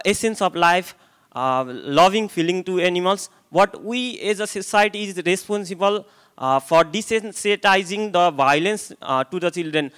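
A young man with a Nepali accent speaks into a handheld microphone at a conference. His voice sits fairly high and sounds clean and smooth. He hesitates often, filling pauses and restarting phrases. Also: a bit bright. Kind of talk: conference presentation. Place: hotel conference room, indoors.

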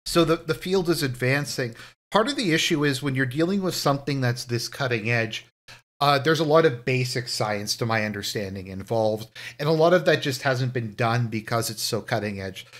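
A man in a mid-pitched voice muses aloud in a casual, unscripted commentary. He restarts sentences, leans on key words, and lets his voice rise when he makes a point. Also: fairly bright tone. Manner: thoughtful, musing, with frequent restarts and rising emphasis. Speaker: video essay host.

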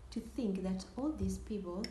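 A woman's voice: mid-range voice, lightly acted. A young woman with an Italian accent playing a wistful, hesitant dreamer remembering lost hopes in a dramatic monologue. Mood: confiding, hopeful. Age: young adult. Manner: slow, hesitant, inward. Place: small indoor room, seated at table. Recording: echoey room, faint hiss.

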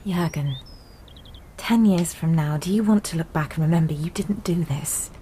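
A woman's voice: sits low, moderate pace, warm tone, slight background noise, close to an everyday voice. A woman playing an earnest, insistent British aircraft scientist with a British accent in a radio drama. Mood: persuasive. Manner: earnest, articulate, persuasive.

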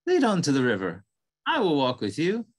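A busy little male mouse from a storybook, wide-eyed and earnest, always asking what things are. His voice sits high and jumps about a lot as he questions everything, with a man acting him out over a video call. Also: wildly swooping pitch, moderate pace. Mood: curious. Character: small busy curious young male mouse.

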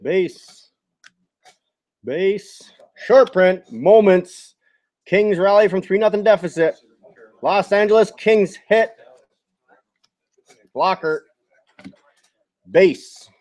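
A man's voice: high voice, drawn-out words, long pauses, a little mellow. A man hosting a card box break, announcing each item in clipped, short bursts. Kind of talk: live card-opening commentary, terse announcing. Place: card shop with onlookers seated nearby.

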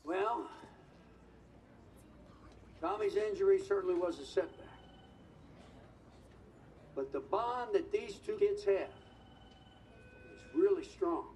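An actor playing a measured man speaking at a sports press conference in a game. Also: high voice, darkish tone. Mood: measured, proud. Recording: distant mic, faint room noise.

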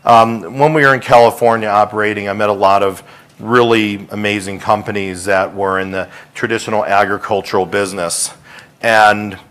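Middle aged guy, lowish voice, doing a casual business pitch to investors, salesy and chatty. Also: fairly even intonation, moderate pace, a bit bright. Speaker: company executive giving an investor presentation. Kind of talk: informal business pitch to an audience. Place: hotel conference room with seated audience.

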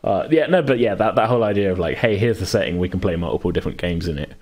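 Podcast host and game master: British man, low voice, loose, rambling, jokey conversational delivery. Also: expressive, racing, a little mellow. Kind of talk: casual chat about a tabletop game.

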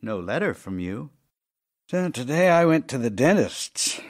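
A man's voice: medium pitch, big pitch swings, unhurried. A man playing a devoted, anxious writer husband in an audio drama of love letters, with a theatrical, yearning delivery. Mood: lonely.

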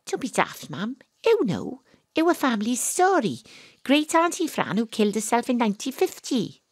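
A woman's voice: fairly high pitch, sing-song, moderate pace, husky, no room sound. Earnest teen girl in an audio drama, emotional storyteller, close dry recording. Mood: bewildered. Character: earnest teenage girl narrating her ghostly adventure, emotional. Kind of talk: audio drama monologue and dialogue.

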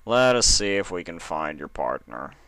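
Guy as a stuffy paper-pusher stallion, slow n deadpan, super clean dry sound, storybook. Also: low voice, no room sound, exaggerated voice. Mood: dry, polite. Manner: slow, deliberate, formal, deadpan. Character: fussy, bureaucratic male pony official, dry and deadpan.

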